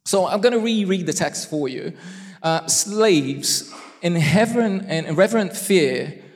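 A man's voice: high voice, slow pace, long reverb tail. A man from Brazil with a Brazilian Portuguese accent, a native Portuguese speaker, preaching a sermon as a guest preacher and making playful asides to the congregation. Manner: animated and self-deprecating, with asides to the congregation. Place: church hall.

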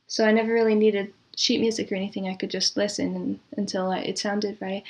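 A woman speaking as an interview guest in casual, reminiscing conversation, with a thoughtful, measured way of talking. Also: mid-range voice, flat delivery, a little mellow. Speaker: interview guest, composer and audio drama producer.

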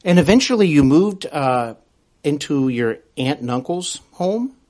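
A man's voice: fairly high pitch, sing-song, a little slow, darkish tone. A man hosting a podcast interview in a conversational way, agreeing often and phrasing his thoughts carefully.

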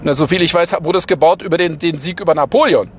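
Berliner passenger, high-pitched and sardonic, rambles his opinions in a car with faint street hum behind him. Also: fast talker. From Berlin, Germany. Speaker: passenger guiding a city drive. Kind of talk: casual chat. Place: inside a moving car in a city.

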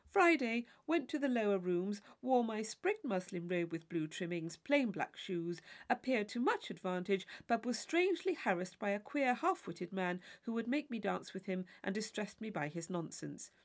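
Audiobook role: woman as witty young gentleman, playfully affected, mock-formal, lively swooping delivery. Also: medium pitch, normal speed, few pauses. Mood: playful, mock-serious. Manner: arch, fluent, mock-formal. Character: witty, teasing gentleman in his mid-twenties, playfully affected. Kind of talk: classic novel audiobook character voice.

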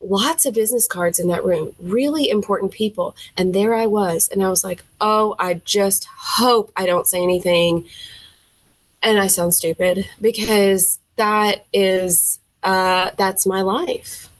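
Podcast guest: young Southern woman, mid-pitched, dry and close-miked, animated storyteller, says "um" a lot. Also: measured pace, no room sound. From Tennessee. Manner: animated, acts out her own quoted reactions; frequent fillers, thinks aloud. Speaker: music teacher, podcast guest. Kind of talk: casual storytelling interview.

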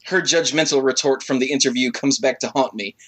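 Brief: man, comic reader, halting and stumbling, bright husky voice with lively pitch. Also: low-quality recording. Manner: halting reading, stumbling over words. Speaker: podcast co-host reading aloud. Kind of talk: comic dramatic reading.

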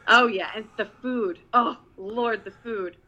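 A woman's voice: a little high, unhurried, dull audio. A woman from the Philippines, co-hosting a casual talk show, light and giggly, with many hesitant fillers. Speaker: co-host.

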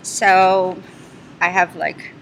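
A woman's voice: lowish voice, flat delivery, extremely slow, vocal fry, fairly bright tone, slight background noise, poor audio. A woman whose first language is Portuguese, chatting as a podcast guest, laughing often and searching for English words. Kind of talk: casual podcast chat.

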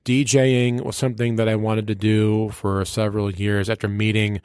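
A man's voice: on the low side, flat delivery, moderate pace, a little mellow, smooth, dry recording, studio quality. A man who works as a radio personality and DJ, telling stories casually and colloquially with a showman's turn of phrase as an interview guest. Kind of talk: casual storytelling in a sit-down interview.

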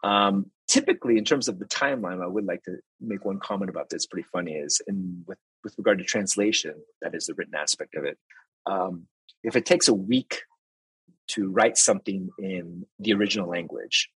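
A man's voice: low-pitched, fairly quick, fairly bright tone, husky, no echo, rough recording. A man, a translator and interpreter, explaining his work step by step in a casual interview, with restarts and examples.

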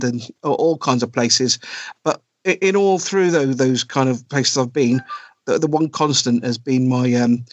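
A middle-aged man from South Wales, chatting as a podcast guest and pausing often with ums and restarts. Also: medium pitch, no room sound. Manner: hesitant, many ums and restarts. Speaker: podcast guest, comic writer. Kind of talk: casual interview chat. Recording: dry, dull lo-fi sound.

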